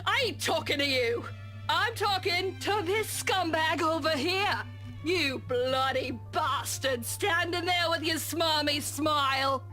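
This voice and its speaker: A woman voicing a drunk, furious pregnant woman who shouts and curses. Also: high voice, takes it slowly, faint music behind, exaggerated voice. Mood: drunk rage. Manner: shouting, cursing, slurred. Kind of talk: game voice acting.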